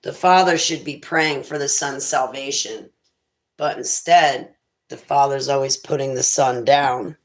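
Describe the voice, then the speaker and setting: A woman with a raspy voice chats on her live stream in a loose, wandering way. She takes her time over each thought. Her manner is unhurried and conversational. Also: very deep voice, steady tone. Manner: rambling, faith-filled musings. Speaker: internet radio host. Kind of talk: casual livestream chat.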